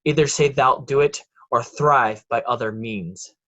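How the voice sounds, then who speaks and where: Guy doing a cold scheming shakespeare villain, measured, bossy, ambitious nobleman. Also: medium pitch, pushed and bright, highs cut off. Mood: cold, commanding. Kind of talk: Shakespearean verse read in reader's theatre.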